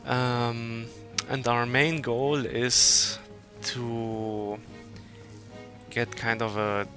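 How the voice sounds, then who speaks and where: Dude explaining what hes doing in the game, slow and steady, music in the background. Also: male voice, medium pitch. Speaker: player demonstrating the game. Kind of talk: explaining gameplay while playing.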